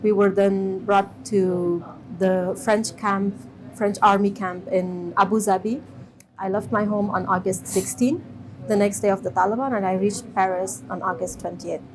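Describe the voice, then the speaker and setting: A woman in her thirties from Afghanistan, giving a measured personal testimony with pauses and restarts. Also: medium pitch, fairly even intonation, a little hiss or hum, poor audio. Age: 30s. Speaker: interviewee.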